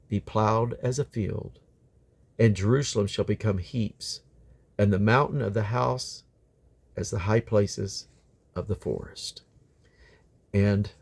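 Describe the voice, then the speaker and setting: A man reading aloud from the Bible as a scripture reader, sometimes halting and correcting himself on difficult words. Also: medium pitch, a little mellow. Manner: halting over difficult names, stumbles and self-corrects.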